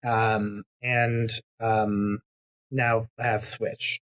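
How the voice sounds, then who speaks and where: A man's voice: fairly low pitch, level tone, extremely slow, a bit bright. A man guest on a podcast, chatting casually and laughing often. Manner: laid-back, laughs often. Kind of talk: casual chat.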